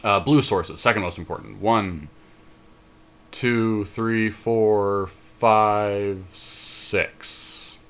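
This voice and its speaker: A man talking casually through a card game, reasoning and counting aloud in a deliberate way. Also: on the low side, fairly even intonation, a bit bright, clear, resonant tone. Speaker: podcast co-host and card game player.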